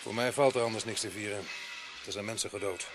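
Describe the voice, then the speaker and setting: An actor playing a dour, weary and dryly humane police sergeant in a radio drama. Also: male voice, lowish voice, fast talker, over music, close to an everyday voice. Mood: somber.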